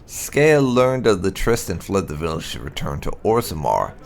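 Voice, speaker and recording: A man with a gravelly voice reading fantasy game lore aloud in a measured, solemn storytelling manner. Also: deep voice, big pitch swings. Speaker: gaming channel host.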